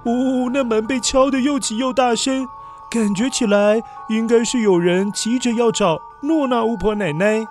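A man narrating a children's story in a gentle, coaxing storyteller manner, addressing the young listener. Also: extremely high pitch, moderate pace. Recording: background music under narration.

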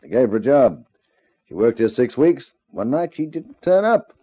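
An actor playing a terse, offhand, evasive nightclub manager in a 1950s radio crime drama. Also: male voice, medium pitch, sing-song, moderate pace, mellow, husky, dry recording. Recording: dull old radio drama recording.

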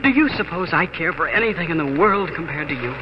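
An actor playing a devoted, earnest homesteader husband with urgent feeling, in 1940s radio drama style. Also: male voice, a little high, lively intonation, quick pace, natural voice. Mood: urgent, loving. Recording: muffled, noisy 1940s radio broadcast.

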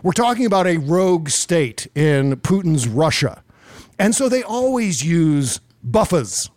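A man's voice: a little high, very animated, unhurried, darkish tone, dry recording, clean studio sound. A man hosting casual political commentary in a sarcastic, exasperated and profane way. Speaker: podcast host.

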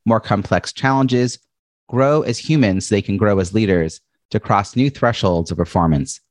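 Deep-voiced chatty male podcast host. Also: no echo. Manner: chatty, says he has a loud voice and big presence. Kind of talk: interview podcast.

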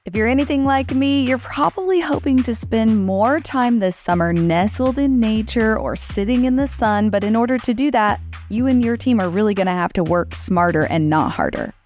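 A woman's voice: fairly high pitch, expressive, normal speed, few pauses, darkish tone, soft background music. A middle-aged woman co-hosting a podcast in a friendly, informal, conversational way. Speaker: podcast co-host.

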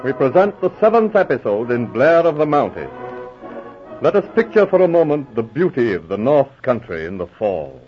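A man narrating a 1940s radio drama in a stately, theatrical style with deliberate phrasing. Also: mid-range voice, very animated. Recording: muffled old radio broadcast. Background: music.

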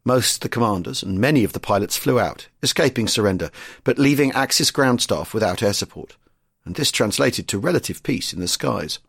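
Measured male narrator, husky tone, dry sound. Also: mid-range voice, expressive, a bit bright, no room sound. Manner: measured, informative. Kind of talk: scripted documentary narration.

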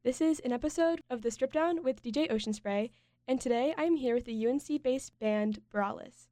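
A woman's voice: a little high, normal speed, dark tone, dry recording. A woman hosting a college radio interview, asking questions of her guests.

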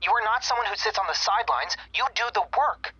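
Young male customer service rep, telephone-filtered, bright edge, breathy, patient, guarded, audio drama. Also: high-pitched, animated, fast, no room sound, full character voice. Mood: urgent reassurance. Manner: soothing, earnest, hesitant when personal. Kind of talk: naturalistic audio drama acting. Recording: dull telephone-filtered sound.

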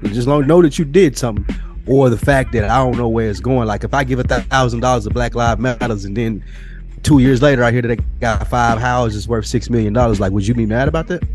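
A man's voice: mid-range voice, fairly quick, darkish tone, faint music behind. A man co-hosting a podcast, arguing his opinions casually and bluntly, with plenty of swearing. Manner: blunt, profane, argumentative. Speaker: podcast co-host.

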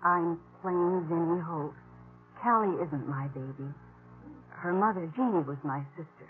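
A woman's voice: on the low side, a little slow, faint music behind, natural voice. An actress playing a weary, defiant young captive woman in a 1950s radio western, blunt and bitter. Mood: sombre. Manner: blunt, bitter, plain-spoken. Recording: muffled old 1950s radio recording.

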